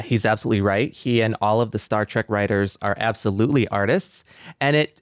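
A man's voice: mid-range voice, clear, resonant tone, dry recording, studio quality. A man co-hosting a podcast, giving conversational commentary. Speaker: podcast co-host.

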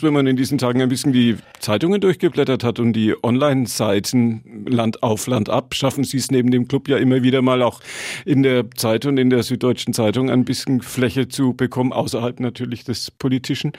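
Male radio presenter, mid-pitched, polished announcer delivery, dry close studio sound. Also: fairly even intonation, fairly quick, a little mellow, no room sound. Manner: smooth, announcer-like, brief prompting questions. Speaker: radio host. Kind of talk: radio interview hosting. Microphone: studio mic.

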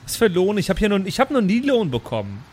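High-voiced man bantering casually. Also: wildly swooping pitch, fast talker, darkish tone. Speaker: tabletop role-play podcast player.

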